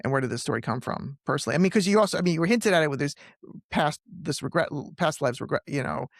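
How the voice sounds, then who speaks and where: A man hosting a conversational interview, often halting and rephrasing his questions as he goes. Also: fairly high pitch, gravelly, no echo, studio quality. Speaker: podcast host and interviewer; film teacher.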